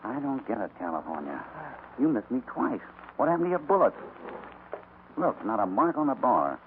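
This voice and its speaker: An actor playing a commanding, sharp-witted cowboy hero, brisk and authoritative, in a 1950s radio western. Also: male voice, mid-range voice, very animated, lightly acted. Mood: deducing. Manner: brisk, authoritative, clipped orders. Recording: tinny, noisy old radio recording.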